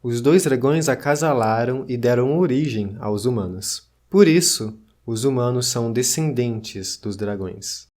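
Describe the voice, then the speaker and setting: A man's voice: medium pitch, unhurried, few pauses, darkish tone, lightly acted. Man acting solemn teller of ancient myths. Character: ancient myth teller recounting serpent and dragon creators. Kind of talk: scripted mythic narration.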